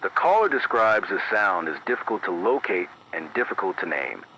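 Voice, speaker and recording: Man acting as late-night emergency broadcaster, animated intonation, measured precise wording, grave, scripted horror bulletin, AM radio filter, faint noise. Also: sits low, full character voice. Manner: measured, formal, deliberate, precise. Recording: dull band-limited AM radio, faint noise.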